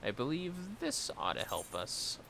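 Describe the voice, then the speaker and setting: A man's voice: mid-range voice, wildly swooping pitch, measured pace, gravelly, background noise. A man livestreaming a game, talking to himself in a playful, rambling, casual commentary. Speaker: livestreamer playing an online fantasy game.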